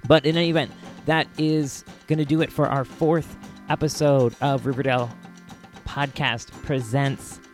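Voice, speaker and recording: Enthusiastic male podcast host over music. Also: medium pitch. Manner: rambling, halting, enthusiastic. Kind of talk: casual solo podcast outro. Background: music under the voice.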